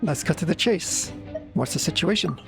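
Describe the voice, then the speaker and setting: A man's voice: a little high, animated, normal speed, gravelly, loud music behind the voice. An actor playing a level-headed young male adventurer in a video game, direct and to the point.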